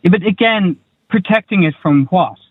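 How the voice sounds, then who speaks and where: A man's voice: sits high, animated, normal speed, no echo. A man pushing back on a radio show over a tinny, dry phone line. He has a mid-range voice and fires off rhetorical questions. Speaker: caller. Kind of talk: phone-in debate. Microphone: telephone.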